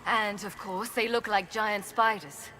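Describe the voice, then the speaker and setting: An actress playing a sardonic, combative warrior heroine in a fantasy video game, dry and sarcastic. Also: female voice, medium pitch, steady tone, a little slow, sharp tone, low-quality recording. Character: sardonic, combative adult female warrior hero.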